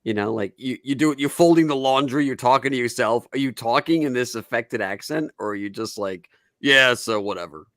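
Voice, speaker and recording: A man's voice: medium pitch, brisk, few pauses, a bit bright, no room sound, studio quality. A man hosting a casual talk show, sarcastic and joking, laughing often and swearing. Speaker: podcast host. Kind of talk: casual chat, mocking commentary.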